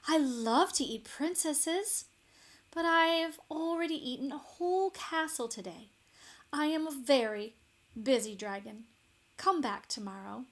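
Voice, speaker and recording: Woman voicing a haughty storybook dragon, slow and emphatic. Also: sits high, pitched above the performer's own voice. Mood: haughty, dismissive. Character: haughty, boastful, busy male dragon. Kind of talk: children's storybook read-aloud.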